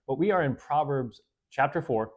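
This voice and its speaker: A man hosting a casual Bible study, talking in a relaxed, conversational way with fillers and self-corrections, and reading verses slowly and deliberately. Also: medium pitch. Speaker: host.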